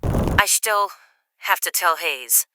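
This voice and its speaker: Audio drama role: hard, terse female handler, played by a woman, exasperated, very bright forceful tone, animated pitch. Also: slow, no room sound, exaggerated voice. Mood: tense, reluctant. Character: hard, exasperated female handler, foul-mouthed but reluctantly helpful.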